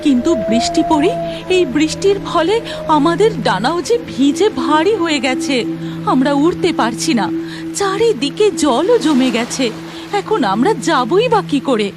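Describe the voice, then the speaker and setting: A woman voicing an earnest, excitable young cloud fairy in a cartoon story, speaking with wonder. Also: sits high, normal speed, over loud music. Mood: worried.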